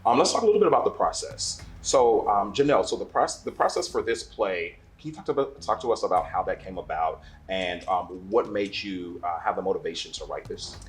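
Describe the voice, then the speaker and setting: A man's voice: low-pitched, animated, a little fast, hardly any pauses. A man interviewing guests in a casual, conversational style.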